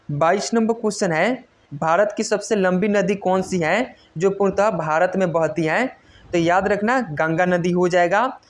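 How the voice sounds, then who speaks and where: A woman teaches in a quiz-style exam lesson, reading out questions and stressing each answer repeatedly in an instructional way. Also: fairly low pitch. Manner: instructional, repetitive emphasis on key answers. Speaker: exam coach.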